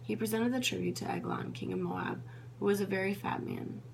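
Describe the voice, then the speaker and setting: A woman's voice: mid-range voice, brisk, creaky. A woman reading scripture aloud, sometimes stumbling over names and correcting herself. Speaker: Bible reader. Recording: noisy with a steady hum.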